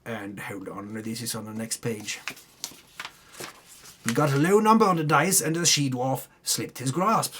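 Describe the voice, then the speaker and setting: A man's voice: mid-range voice, measured pace, fairly bright tone, a little hiss or hum. A man giving a dramatic, tongue-in-cheek reading of a fantasy game recap, breaking off into muttered asides. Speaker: narrator recapping a tabletop game campaign.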